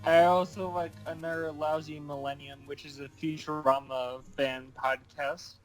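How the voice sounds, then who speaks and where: A man's voice: fairly high pitch, level tone, moderate pace, soft background music, poor audio. A man in his twenties chatting casually as a vlog co-host, speaking in short, offbeat remarks. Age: 20s. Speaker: podcast co-host.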